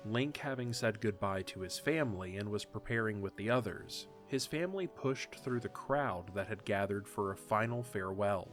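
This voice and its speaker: A man with a fairly low voice narrates a story in a steady, measured way. Soft music runs faintly beneath his narration.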